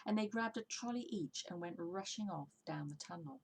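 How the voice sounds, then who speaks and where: A woman's voice: on the low side, normal speed, vocal fry, slight background noise. A woman narrating a children's storybook expressively, as a story reader.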